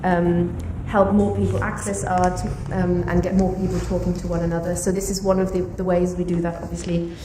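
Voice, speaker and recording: A woman's voice: on the low side, little pitch movement, fairly quick, few pauses. A woman chairing a gallery talk, introducing it in an informal, chatty way. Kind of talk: informal spoken introduction to an audience. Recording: echoey room, distant mic, noisy.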